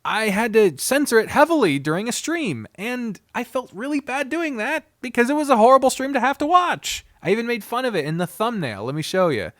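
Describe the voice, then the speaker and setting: A man's voice: extremely high pitch, sing-song, a little fast, few pauses, a bit bright, no echo, clean studio sound. A man giving a casual, unscripted video review as a streamer, rambling candidly and swearing freely. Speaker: visual novel streamer and reviewer.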